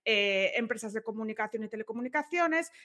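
A woman's voice: fairly high pitch, lively intonation, a little fast, a bit bright. A young woman from co-hosting a podcast, explaining work news with jokes and asides. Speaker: podcast co-host. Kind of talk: casual podcast chat.

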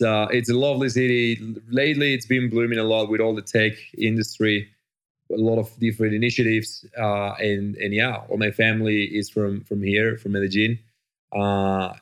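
Low-voiced Colombian man, easygoing. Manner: friendly, with fillers and restarts. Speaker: guest. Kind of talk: casual interview answers.

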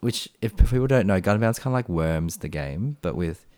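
Young Australian guy, very low voice, rambly podcast guest, dry close sound. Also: animated, moderate pace, darkish tone, no room sound. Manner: rambling, many fillers and restarts. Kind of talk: casual chat.

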